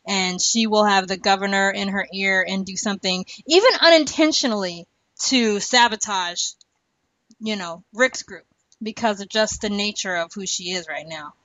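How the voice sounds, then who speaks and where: A woman's voice: mid-range voice, moderate pace, fairly bright tone, no room sound, dull audio. A woman co-hosting a podcast, chatting casually and emphatically about a TV show, repeating words for stress. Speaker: podcast co-host.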